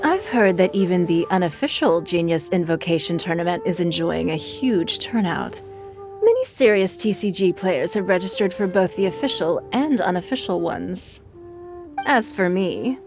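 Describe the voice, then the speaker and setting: A woman playing a shrewd, composed and elegant businesswoman in an anime video game, speaking in a measured, formal way. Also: on the low side. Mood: composed, reflective. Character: poised wealthy businesswoman, shrewd, refined and composed.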